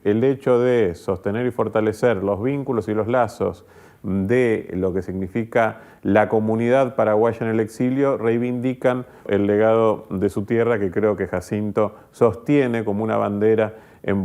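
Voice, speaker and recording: A man speaking analytically in an interview, articulate and measured, stressing the openings of his points. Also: on the low side, darkish tone. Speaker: interviewed commentator.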